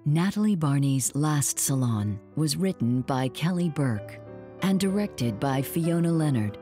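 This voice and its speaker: Low-voiced woman reading credits. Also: lively intonation, measured pace, warm tone, soft background music. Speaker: credits reader. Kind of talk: scripted credits announcement.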